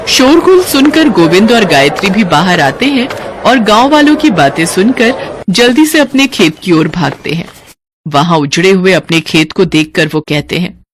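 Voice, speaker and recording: Expressive woman storyteller with lively intonation. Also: mid-range voice, normal speed, crowd noise, noisy background. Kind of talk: scripted narration of a children's moral story.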